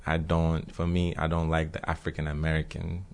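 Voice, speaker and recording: Young man, very deep voice, gravelly, from Cameroon, easygoing podcast co-host, repeats himself. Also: completely flat delivery, moderate pace. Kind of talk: casual conversation.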